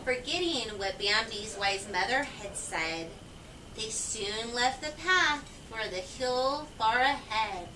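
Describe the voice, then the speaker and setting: High-voiced woman storyteller, echoey and distant. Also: animated, takes it slowly. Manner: prompts listeners with questions. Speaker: reader of a children's picture book. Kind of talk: read-aloud storytelling to children. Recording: echoey, noisy room, far from mic.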